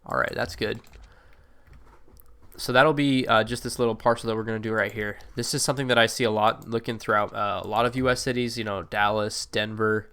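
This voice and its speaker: A man's voice: fairly low pitch, steady tone, brisk, a bit bright, smooth. A man with a slightly congested, allergy-stuffed voice, giving casual, rambling commentary over a city-building game with many fillers and self-corrections. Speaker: gameplay video creator.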